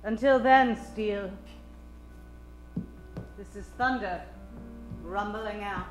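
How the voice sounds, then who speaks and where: A woman's voice: medium pitch, slow, close to an everyday voice. A woman playing a haughty, scheming client in an old-time radio detective parody. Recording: roomy stage, faint music.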